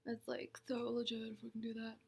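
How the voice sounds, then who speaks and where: This is a young woman hosting a casual tarot reading livestream. Her voice sits slightly high and has a gravelly fry to it. She talks fast and chatty, with frequent laughs and greetings to her viewers. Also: completely flat delivery.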